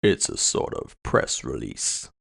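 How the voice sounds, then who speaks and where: A man voicing a gloating masked villain in audio fiction, with a hollow murmur and slow, mockingly courteous boasting. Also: a bit bright. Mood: smug, gloating. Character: gloating, theatrical masked male villain with mind-control powers.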